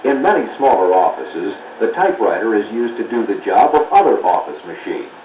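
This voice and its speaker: A man's voice: on the low side, very animated, recorded from a distance. American male narrator for a 1970s classroom film, didactic and precise, heard through a narrow, roomy old soundtrack. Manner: measured, didactic, clearly enunciated. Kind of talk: scripted instructional narration. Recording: muffled, noisy 1970s 16mm film soundtrack.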